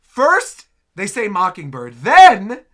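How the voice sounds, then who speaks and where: High, bright-voiced male reaction host. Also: slow. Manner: repeats words for emphasis, excitable. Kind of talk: casual reaction commentary.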